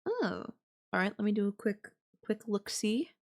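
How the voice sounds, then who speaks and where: A woman playing a clingy, possessive girlfriend who feigns sweet innocence in an audio roleplay, speaking coyly and hesitantly with hums and pauses. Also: mid-range voice, big pitch swings. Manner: hesitant, drawn-out, coy delivery with hums and pauses. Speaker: voice actor. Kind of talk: girlfriend audio roleplay, one side of a call.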